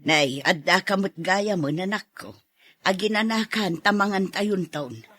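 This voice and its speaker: A woman playing an older, concerned mother in a radio drama, with a husky voice and an Ilocano accent. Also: medium pitch, measured pace, a bit bright, dry recording. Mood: caring, concerned.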